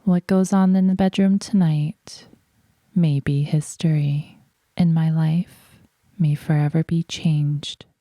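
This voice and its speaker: Slow, low, dark-voiced hushed woman. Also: steady tone. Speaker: roleplay gamer narrating her character. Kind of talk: in-character first-person narration.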